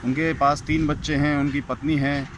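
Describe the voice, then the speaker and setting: A man's voice: on the high side, little pitch movement, moderate pace. An indignant man giving an informal, scolding opinion rant as a commentator on a recorded call. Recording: very noisy phone call recording outdoors, vehicles.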